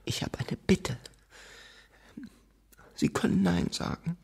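An actor voicing a tiny, odd, polite penguin-like gentleman in a German children's radio play. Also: slow, lightly acted. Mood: pleading, flustered. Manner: polite, formal, quaint.

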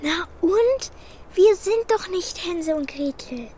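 High-voiced, plucky orphan girl. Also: expressive, slow, dark tone, full character voice. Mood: pert, determined. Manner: blunt, pert, commanding. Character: plucky, bossy orphan girl, practical and sharp-tongued. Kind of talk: children's radio play acting.

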